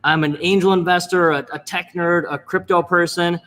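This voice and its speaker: Higher-voiced man, playful interview guest. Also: steady tone, moderate pace, fairly bright tone. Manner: playful, laughing. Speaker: guest, angel investor. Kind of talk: casual interview chat.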